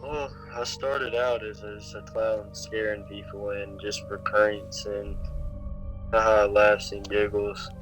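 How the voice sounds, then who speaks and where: A man playing a grim, vengeful killer clown in a phone interview, speaking in a terse, halting, threatening manner. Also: lowish voice, little pitch movement, music in the background, low-quality recording.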